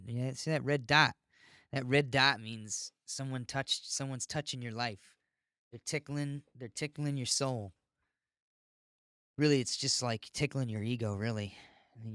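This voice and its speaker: A man's voice: mid-range voice, bright, forceful tone, dry recording, clean studio sound. A man hosting a podcast in a casual, rambling monologue, informal and given to ranting and swearing. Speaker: podcast host.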